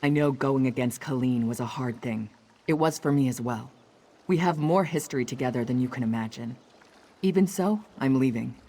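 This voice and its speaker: Performer as steady, sincere sailor woman for fantasy game; low-set voice, resolute and loyal. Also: moderate pace, close to an everyday voice. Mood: resolute, warm.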